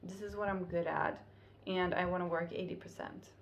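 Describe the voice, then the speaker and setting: A woman's voice: lowish voice, steady tone, moderate pace, slight background noise. A woman whose first language is Finnish, talking casually to camera in her home kitchen, chatty and often laughing. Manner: chatty, laughs often, thinks aloud with fillers. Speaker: vlogger. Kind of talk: casual vlog talk to camera.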